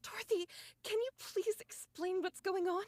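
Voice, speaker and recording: A woman playing a gentle, caring, earnest young squad mechanic in an anime-style game. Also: very high voice, steady tone, moderate pace, no room sound. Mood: concerned.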